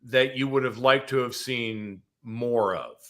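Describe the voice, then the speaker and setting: Male panelist for casual chat: sharp bright tone, emphatic, blunt, a bit salty. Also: mid-range voice, unhurried. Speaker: podcast panelist.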